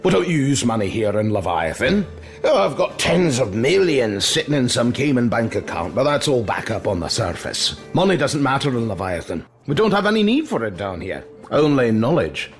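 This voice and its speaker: A genial old tavern keeper in an audio drama. His delivery is theatrical and folksy, with pitch that rises and dips freely. He teases and chats heartily over a bed of music. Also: very animated, moderate pace. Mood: amused, explaining. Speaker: barkeep. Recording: music under the dialogue.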